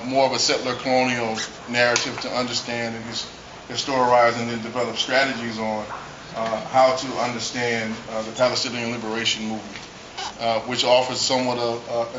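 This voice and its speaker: Halting man moderating a panel. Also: mid-range voice. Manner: loose, halting phrasing. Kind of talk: conference panel talk. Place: conference hall. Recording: muffled, noisy, roomy, from far off.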